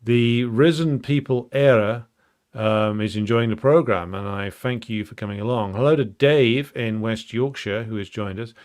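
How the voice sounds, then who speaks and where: A man hosting a live stream, chatting casually and reading out viewer comments, halting with many ums and uhs. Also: lowish voice, darkish tone. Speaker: livestream host.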